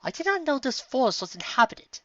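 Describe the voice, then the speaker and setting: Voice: high voice, big pitch swings, a little fast, sharp tone, dry recording, highs cut off, pitched above the performer's own voice, full character voice. A performer voicing a prim, serious, educated female pony musician who speaks formally and precisely in a story reading. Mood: intent.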